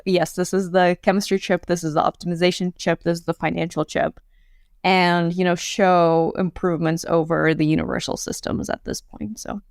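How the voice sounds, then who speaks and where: A young adult woman as a guest on a podcast interview, talking casually with lots of fillers and quick laughs. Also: fairly low pitch, no room sound, studio quality. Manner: chatty, many fillers, laughs often. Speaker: guest, quantum hardware startup.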